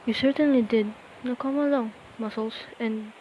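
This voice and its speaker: A woman's voice: on the high side, fairly even intonation, moderate pace, dull audio, a little hiss or hum, natural voice. A woman playing a practical, appreciative mother in an amateur radio play. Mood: pleased.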